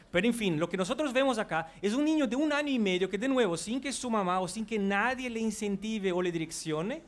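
A man in his forties from Brazil, a native Portuguese speaker with a Brazilian accent, giving an earnest psychology lecture to a large auditorium on a headset mic. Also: sits high. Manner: earnest, walks the stage while talking. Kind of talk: public lecture. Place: large auditorium before an audience.

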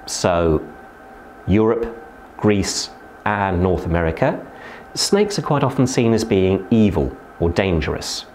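Zoo keeper guy, forties, low voice that jumps up a lot, faint hum of the reptile house behind. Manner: lively, emphatic explainer with sudden pitch rises. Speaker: zookeeper giving a tour. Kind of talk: educational talk to camera. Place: indoor reptile house with lit terrariums.

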